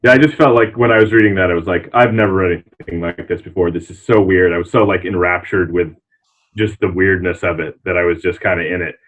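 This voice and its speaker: A man's voice: sits low, steady tone, quick pace, fairly bright tone. A man who works as a librarian, reading aloud and chatting informally on a panel. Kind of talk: reading reviews aloud and casual chat. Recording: dull, muffled lo-fi sound.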